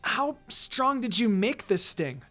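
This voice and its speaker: A man voicing a gentle, focused teenage ninja girl in a storybook reading, composed, careful and polite. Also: high-pitched, very animated, slow pace, exaggerated voice. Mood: urgent. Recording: music behind the voice.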